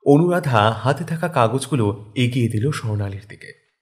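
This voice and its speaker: A man's voice: medium pitch, animated, normal speed, darkish tone. A man giving a scripted narration of a story. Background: background music.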